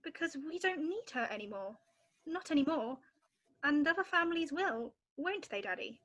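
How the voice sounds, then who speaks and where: Woman playing a high-voiced little girl. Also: animated, normal speed, a bit bright, poor audio. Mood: matter-of-fact. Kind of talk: amateur script read-through.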